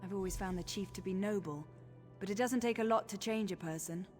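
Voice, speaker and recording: Woman doing a determined orc priestess in a game, earnest, middle pitch, music behind. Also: a little fast, a little mellow, natural voice. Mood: thoughtful, concerned. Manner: resolute, earnest, reflective. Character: brave, determined orc high priestess, protective and thoughtful. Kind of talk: dramatic video game voice acting.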